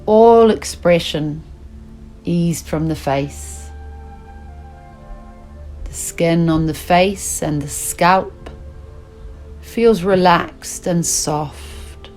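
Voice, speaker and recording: A woman with a low voice leads a guided relaxation as a yoga nidra teacher. She speaks very slowly and soothingly, leaving long pauses between short instructions. Music plays behind her. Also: a little mellow.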